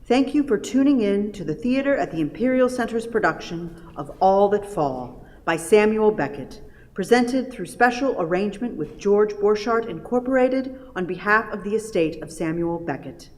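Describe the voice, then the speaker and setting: A woman's voice: mid-range voice, lively intonation, normal speed, a little mellow. A woman giving a formal, scripted announcement to introduce a play from a theatre stage. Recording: long reverb of a theatre.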